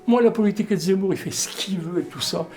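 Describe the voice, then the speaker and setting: A man's voice: high voice, fast, darkish tone. An older man, a village mayor, talking bluntly and plainly in a casual interview. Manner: blunt, plain-spoken, colloquial.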